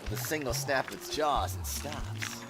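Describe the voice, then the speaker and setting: Male streamer reading visual novel narration with dramatic flair and wry asides, lively swings in pitch, loud music underneath. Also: normal speed. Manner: dramatic read-aloud mixed with joking asides. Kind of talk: reading game narration aloud with casual live commentary. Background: loud game music.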